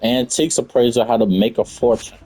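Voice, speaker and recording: A man's voice: mid-range voice, fairly even intonation, a little fast, a little mellow, poor audio. A young man giving casual, blunt, slangy commentary over a game playthrough. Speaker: gaming streamer.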